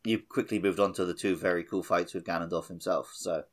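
Low-pitched man chatting casually. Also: fairly even intonation, fairly quick, a crowd in the background. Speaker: podcast co-host. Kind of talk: casual gaming podcast chat.

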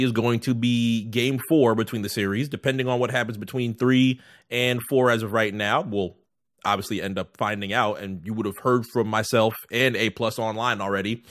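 Bright-voiced man bantering about sports. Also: mid-range voice. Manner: emphatic, playful hyperbole, repeats phrases for effect. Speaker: sports and culture podcast co-host.

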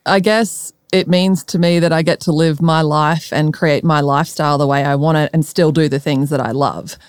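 Australian female founder as interview guest: informal, crisp and smooth, dry recording. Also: low-pitched, steady tone, normal speed, dry recording. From Melbourne, Australia. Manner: laughs easily, informal. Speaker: podcast guest, startup founder. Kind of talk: conversational interview.

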